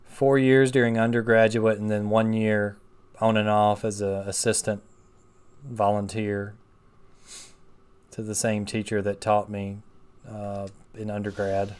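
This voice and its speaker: A man's voice: on the low side, level tone, measured pace, pauses often, clear, resonant tone. A man in his thirties chatting casually with rambling asides while playing a video game on stream. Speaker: gaming streamer. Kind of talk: casual let's play chat.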